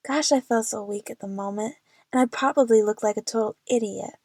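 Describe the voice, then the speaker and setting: Woman as lovestruck, nervous young female narrator for audio drama; higher-pitched, earnest, very dry sound. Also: brisk, darkish tone, no room sound. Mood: anxious. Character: young female movie fan, anxious, lovestruck, narrating her adventure.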